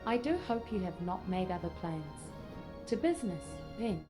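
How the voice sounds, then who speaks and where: A woman's voice: lowish voice, expressive, normal speed, lightly acted. A woman voicing a resolute female admiral, formal and businesslike, in a scripted video game scene. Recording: music behind.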